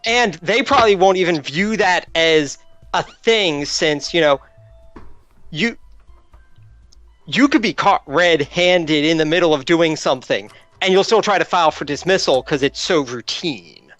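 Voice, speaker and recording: A man's voice: fairly high pitch, expressive, measured pace, a bit bright, no room sound. A man playing a tabletop game, casually reasoning aloud in an analytical way as he discusses it. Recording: dull lo-fi mic, music behind.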